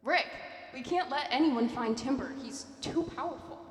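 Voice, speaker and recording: A woman's voice: sits high, moderate pace, lightly acted. Woman playing a distant, echoing cautious guard. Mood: uneasy, cautious. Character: anxious, cautious henchman guard. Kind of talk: audio drama dialogue. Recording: echoey hall, far from mic.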